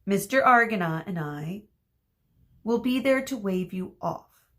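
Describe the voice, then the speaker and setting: A woman reading a storybook as a theatrical, gleefully cruel female teacher who draws out her words with dramatic pauses. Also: mid-range voice, expressive, fairly bright tone. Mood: breezy. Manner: drawn-out dramatic pauses, exclaiming.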